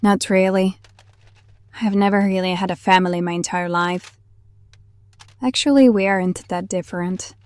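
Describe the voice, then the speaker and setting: A woman's voice: medium pitch, fairly even intonation, normal speed, long pauses, darkish tone, dry recording, natural voice. Woman playing a wistful half-banshee outcast, close and dry. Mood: wistful, confiding. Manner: calls the younger one honey, confiding. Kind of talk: audio drama acting.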